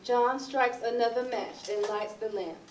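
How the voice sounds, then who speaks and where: A woman's voice: on the high side, measured pace. A woman reading stage directions as scripted narration for a readers theatre play. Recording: roomy, distant, noisy recording.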